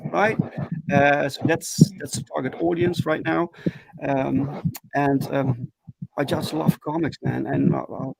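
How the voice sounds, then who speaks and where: A man from the Netherlands with a Dutch accent, a comic book creator chatting as a guest on a video call, halting with many ums and restarts. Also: on the high side, gravelly, a little mellow, breathy edge, no room sound, poor audio. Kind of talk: casual interview chat.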